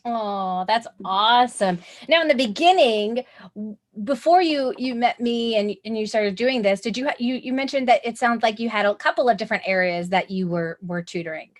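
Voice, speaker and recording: A woman hosts an online tutoring session with bubbly, gushing enthusiasm. Her voice sits a little high, and her talk runs thick with filler words and excited asides. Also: normal speed. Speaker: host of online tutoring masterclass. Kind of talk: enthusiastic webinar hosting.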